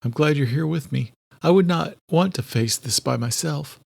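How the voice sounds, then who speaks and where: An earnest, anxious woman doctor as a male narrator plays her in a thriller audiobook. The voice is raised high and polite, recorded dry and close. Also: normal speed, gravelly, darkish tone, dry recording. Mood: worried.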